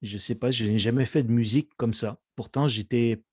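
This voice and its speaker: A man's voice: mid-range voice, steady tone, normal speed, a little mellow, slightly rough, no room sound. Hesitant man, dry sound. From Quebec, Canada. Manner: hesitant, many fillers and restarts. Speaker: musician guest. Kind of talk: casual interview chat.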